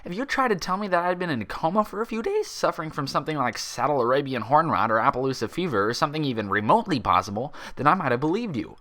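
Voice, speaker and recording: A man's voice: on the high side, lively intonation, fast talker, few pauses, bright, forceful tone, pitched above the performer's own voice. A man voicing an indignant young female unicorn pony in a story reading, bookish, stubborn and insistent. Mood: pleading. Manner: insistent, emphatic, argumentative.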